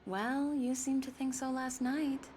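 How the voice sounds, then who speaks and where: Affectionate wife, lightly high voice. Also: female voice, little pitch movement, measured pace, a little hiss or hum. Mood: playful. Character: affectionate, teasing wife in a thriller game. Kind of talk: video game dialogue. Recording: quiet distant game audio with music.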